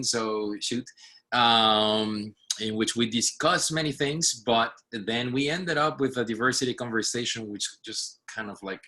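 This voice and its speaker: A man from Puerto Rico, an artistic director, chatting on a video call in a lively, self-deprecating way with quick restarts. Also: lowish voice. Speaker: artistic director and faculty member. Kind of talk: casual group check-in on a video call.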